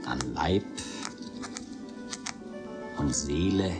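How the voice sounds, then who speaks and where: A man playing a sombre, pompous newspaper editor in a radio drama, with weighty, drawn-out phrases, breathy at times. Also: rumbling low, loud music behind the voice, full character voice. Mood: ponderous. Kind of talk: literary radio drama acting.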